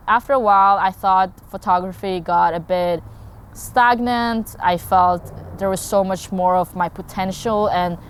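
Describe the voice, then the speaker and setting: A woman's voice: mid-range voice, a little hiss or hum. A young woman from Norway talking casually to camera about her work as a content creator, rambling with lots of fillers and restarts. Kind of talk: casual talking-head vlog.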